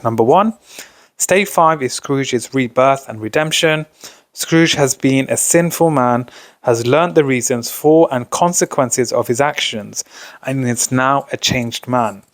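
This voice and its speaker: A man's voice: mid-range voice, dry recording. A man giving an explanatory revision lesson as a tutor, speaking instructionally and often posing questions before answering them. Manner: instructional, poses questions then answers them, repeats stock phrases. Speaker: tutor presenting exam revision.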